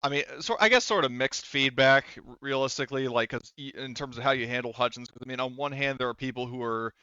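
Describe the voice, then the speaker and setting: A man with a clear, smooth voice runs a tabletop roleplaying game. He talks casually and loosely, circling back and qualifying as he narrates. The sound is dry and slightly muffled. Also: mid-range voice, quick pace, sharp tone, dry recording. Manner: rambling, conversational, hedging. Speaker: game master.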